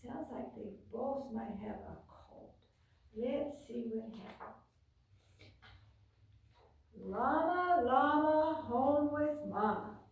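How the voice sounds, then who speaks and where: An American woman reads a storybook to small children in a high, expressive voice. She takes her time and slips in simple asides to her listeners. The sound is distant and very echoey, picked up across a bare room. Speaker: children's librarian and storytime host. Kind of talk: reading a picture book aloud to young children, with chatty asides. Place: indoors, bare carpeted library room with painted wall. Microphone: camera several metres away.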